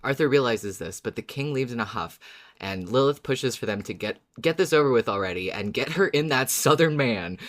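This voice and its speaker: Man, podcast co-host, mid-pitched voice, playful and enthusiastic casual fan talk. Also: expressive, brisk, a bit bright.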